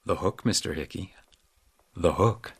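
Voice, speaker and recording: An actor playing a blunt, loyal ex-drunk hotel detective, dry and probing, in a radio detective drama. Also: male voice, low-pitched, unhurried, slightly rough, no echo, full character voice. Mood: sly, measured. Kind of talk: radio detective drama acting with noir narration.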